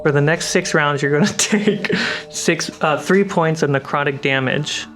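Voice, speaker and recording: Game master for fantasy tabletop play, mid-register, unhurried dramatic storyteller, strong music bed. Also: medium pitch, fairly bright tone. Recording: music behind the voice. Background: background music score.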